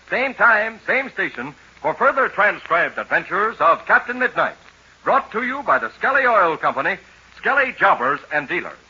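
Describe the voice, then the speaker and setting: A man's voice: sits high, sharp tone, slightly rough. A man announcing a 1940s radio serial with emphatic, dramatic scripted delivery. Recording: muffled 1940s radio recording.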